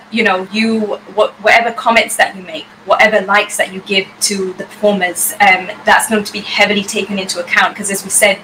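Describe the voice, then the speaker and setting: A woman co-hosts an online poetry contest and talks to the performers in a reassuring, rambling way. Her mid-pitched voice sounds bright. A faint background noise runs under the call. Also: poor audio. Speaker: competition co-host. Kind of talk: casual live-stream hosting.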